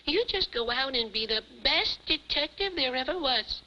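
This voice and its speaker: Perky, piercingly bright little alien puppet. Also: female voice, high voice, animated, normal speed. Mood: encouraging. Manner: quaint exclamations, eager and earnest. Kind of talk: 1950s television puppet show acting. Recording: muffled, hissy 1950s television soundtrack.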